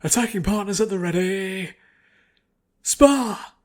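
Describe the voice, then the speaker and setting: A man plays a gruff military training instructor in a fantasy audiobook. He is loud and raised in pitch, barking commands with firm authority. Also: slow pace. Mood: commanding. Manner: commanding, shouted drill orders.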